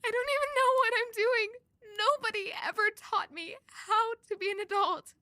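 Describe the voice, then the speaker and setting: A woman's voice: very high voice, normal speed, sharp tone, no echo, clean studio sound. An actress playing a fragile young servant woman, grieving and full of self-doubt, in an emotional audio drama. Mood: anguished, tearful.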